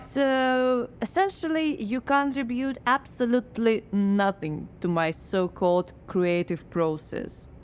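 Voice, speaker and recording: An actress playing a blocked woman playwright who answers with wry, deadpan sarcasm in a radio drama. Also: on the high side, slow, hardly any pauses, clean tone. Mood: sardonic. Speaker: writer.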